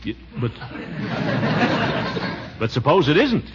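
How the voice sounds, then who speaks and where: An actor playing a pompous, evasive middle-aged uncle who blusters and chuckles in a 1940s radio comedy. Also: male voice, on the high side, very animated, slow. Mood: grumbling evasion. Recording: noisy, muffled 1940s radio recording.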